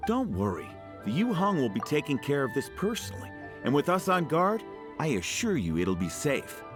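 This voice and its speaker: An actor playing an earnest, dutiful town guard in a video game, polite, formal and reassuring. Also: male voice, fairly high pitch, huge pitch swings, normal speed, over music. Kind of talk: video game story dialogue.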